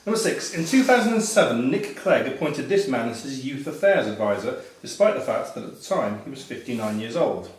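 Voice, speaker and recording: Male quiz master, medium pitch, putting questions to contestants, recorded far from the mic in a very reverberant room. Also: sing-song, a little fast, hardly any pauses. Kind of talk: reading pub quiz questions aloud to players. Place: echoey function room at a live quiz. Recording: roomy echo, distant mic, background noise.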